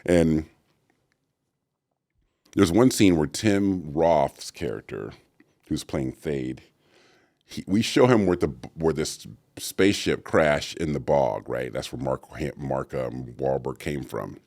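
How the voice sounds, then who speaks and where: A middle-aged man, an actor and voice actor, telling stories in an interview with a deep, resonant voice and acted-out quotes. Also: lively intonation, takes it slowly, vocal fry, a little mellow, no echo, studio quality. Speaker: actor and voice actor guest. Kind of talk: storytelling interview answers.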